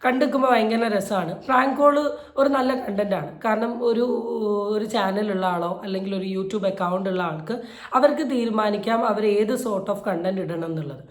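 A woman delivers opinion commentary in an indignant, emphatic way. She repeats phrases for stress, her mid-pitched voice ringing in a roomy, echoey space. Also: normal speed. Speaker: YouTube commentator. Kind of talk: opinion commentary on a viral video.

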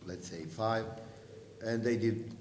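Middle-aged coach, lowish voice, lots of uh pauses, roomy echo. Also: male voice, a little mellow, a little hiss or hum. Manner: hesitant, measured, many "uh" pauses. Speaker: home basketball coach. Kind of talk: post-game press conference answers. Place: press room with sponsor backdrop. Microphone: table mic. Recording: echoey room.

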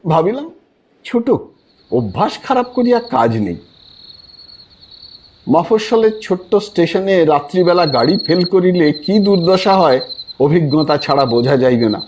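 A man narrating a literary story in an expressive, theatrical manner with a husky voice. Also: high voice, slight background noise. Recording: music bed behind the voice. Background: background music.

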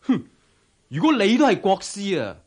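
Haughty young scholar, high-pitched and animated. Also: male voice, moderate pace, husky, full character voice. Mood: proud, challenging. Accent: Hong Kong Cantonese. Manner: scornful, challenging. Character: haughty, irritable young male Confucian scholar. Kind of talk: Cantonese radio drama acting.

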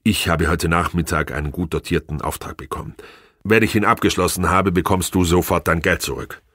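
A weary, stubborn husband in an audiobook, acted by a man. His voice is fairly low and closely recorded without room sound. He keeps himself controlled, arguing defensively and trying to reason. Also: fairly even intonation, fairly quick, fairly bright tone, no echo, clean studio sound. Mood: cautious, reassuring. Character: weary, cornered husband, stubbornly unimpressed, placating. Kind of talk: audiobook character dialogue.

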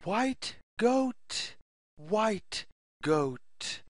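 A narrator reading a repetitive children's story aloud in a deliberate, word-by-word, sing-song way. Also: mid-range voice, very animated, no echo, studio quality.

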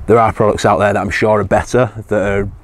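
A man's voice: lowish voice, level tone, quick pace. A man, casually reviewing survival gear outdoors on a hillside, hesitant with many ums and restarts.

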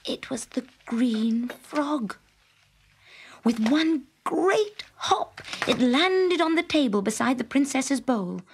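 Children's story narrator, woman: dramatic, breathy, unhurried, very animated intonation. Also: high-pitched, a little hiss or hum.

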